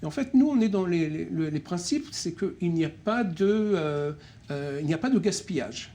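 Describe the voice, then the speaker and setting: A middle-aged French-speaking man, co-director of a nonprofit, answering interview questions with many pauses, fillers and restarts. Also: high voice, lively intonation, warm tone.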